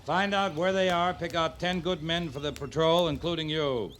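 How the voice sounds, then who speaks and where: An actor playing a laconic, plain-spoken frontier cavalry captain in an old radio western. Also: male voice, a little high, fairly even intonation, moderate pace, close to an everyday voice. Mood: commanding. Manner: terse, understated, plain-spoken. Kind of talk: 1950s radio western acting. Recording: noisy old radio drama recording.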